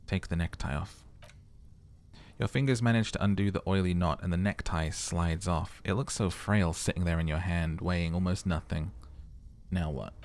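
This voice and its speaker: A man's voice: deep voice, normal speed, a little mellow. A man narrating a game playthrough, mixing dramatic reading with casual commentary.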